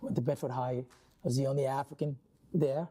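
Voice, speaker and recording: A man from Somalia, interviewed as an author, speaking earnestly with halting restarts. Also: medium pitch, warm tone. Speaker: author guest. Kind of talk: interview conversation.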